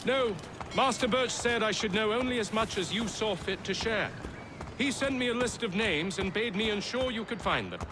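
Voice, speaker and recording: A man's voice: sits high, animated, normal speed, music in the background, low-quality recording. A male actor playing an earnest, deferential young man in a video game, speaking formally and eagerly to his mentor. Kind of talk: video game cutscene acting.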